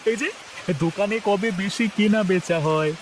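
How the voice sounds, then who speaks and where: Crafty, high-pitched thieving sidekick acted by a man. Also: normal speed, background noise, close to an everyday voice. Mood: scheming. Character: high-voiced crafty male thief, plotting sidekick. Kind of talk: cartoon voice acting.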